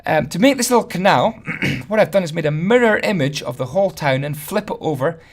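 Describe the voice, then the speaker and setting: A hoarse man, chatty and self-deprecating as he gives a casual building tutorial voice-over. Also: a little high, lively intonation, fairly quick. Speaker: Minecraft building tutorial host.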